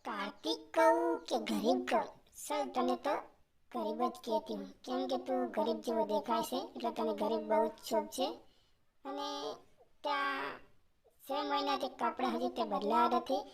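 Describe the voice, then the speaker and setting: A snobbish, wealthy young woman, played by a voice actress in a cartoon tale. Her voice sits fairly high and carries open contempt for the poor. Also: moderate pace, a crowd in the background, lightly acted. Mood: mocking. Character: haughty rich young woman scorning a poor suitor. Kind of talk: cartoon story dialogue.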